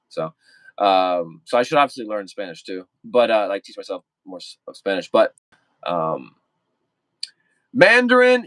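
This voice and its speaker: A man's voice: lowish voice, fairly bright tone, no room sound. A young man chatting casually with viewers on a livestream, rambling and repeating himself with lots of fillers.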